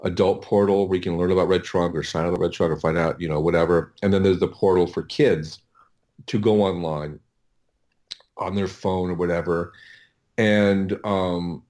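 Man, very low voice, casual explainer, halting delivery with repeated words, interview guest. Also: a little mellow. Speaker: interview guest, audio producer.

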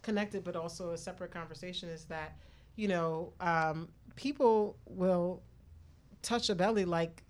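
A woman's voice: fairly low pitch, a little slow, a little mellow. A woman hosting a radio talk show in a conversational style.

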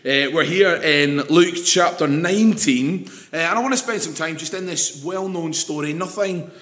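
A Scottish man preaching an evening sermon with a slightly croaky voice, chatty and putting questions to the congregation. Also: a little high, normal speed, fairly bright tone, echoey room. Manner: conversational, asks the congregation questions.

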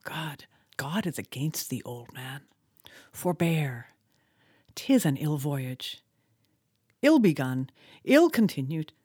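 A woman's voice: deep voice, sing-song, slow pace, long pauses, dark tone, husky, dry recording, studio quality, heavily acted. A woman voicing an anxious first mate, pleading urgently with his captain.

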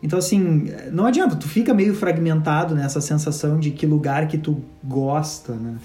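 A man's voice: a little high, a little fast, dark tone. A man, co-hosting a podcast and speaking reflectively in long stretches. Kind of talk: casual conversational chat. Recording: echoey room with faint music.

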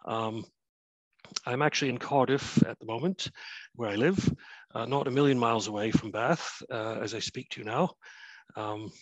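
A Canadian man in his fifties, an author giving a prepared remote lecture, measured and full of uh and um fillers. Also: mid-range voice, no room sound, rough recording. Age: 50s. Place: his flat, remote talk.

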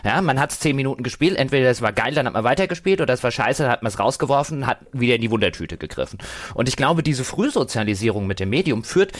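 A man in his forties speaks casually in a podcast discussion. His voice is of medium pitch. He is analytical, yet keeps his wording loose and colloquial. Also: animated, quick pace. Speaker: podcast panelist. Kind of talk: casual podcast discussion about video games.

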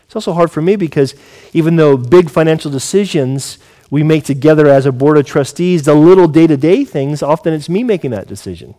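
Higher-voiced man in his forties, preaching. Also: animated, brisk, warm tone. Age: 40s. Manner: earnest, conversational, asks rhetorical questions of listeners. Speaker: pastor. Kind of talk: sermon.

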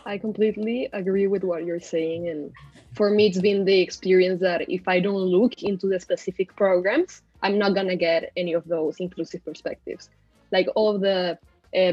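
A woman's voice: on the low side, a little mellow, husky, no room sound. Young female panelist, conversational, dry close sound, slightly lo-fi. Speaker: university student panelist. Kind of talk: casual podcast discussion.

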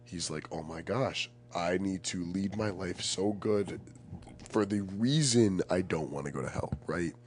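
A young man speaks as a guest in a casual, reflective conversation. His voice is extremely deep. He is earnest and emphatic, searching for words as he goes, over a faint background hum. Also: unhurried, darkish tone. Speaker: podcast guest, poet.

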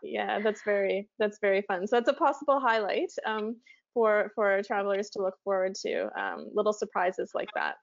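A woman's voice: mid-range voice, fairly even intonation, moderate pace, vocal fry, highs cut off. A woman speaking as a podcast host in a casual conversational interview style.

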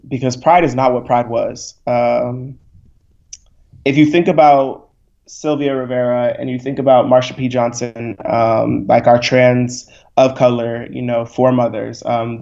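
A man's voice: medium pitch, darkish tone. A young man speaking as a podcast guest, passionate, blunt and profane, often saying "like" and "right?". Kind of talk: candid podcast conversation. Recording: slightly muffled recording.

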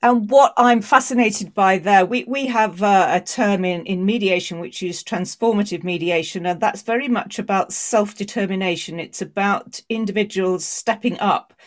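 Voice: mid-range voice, normal speed, hardly any pauses. A podcast host who works as a mediator, asking questions in a reflective way and thinking aloud with small fillers. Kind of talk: podcast interview.

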